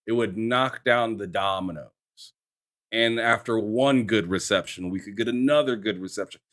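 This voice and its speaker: A man co-hosting a casual gaming news show, speaking haltingly and stammering as he starts his points. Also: lowish voice, fairly bright tone. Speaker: podcast co-host.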